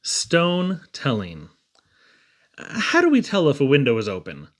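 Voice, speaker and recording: A man's voice: on the high side, huge pitch swings, a little slow. A man reading humorous children's poems aloud in a theatrical, playful storytelling manner. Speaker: poetry reader.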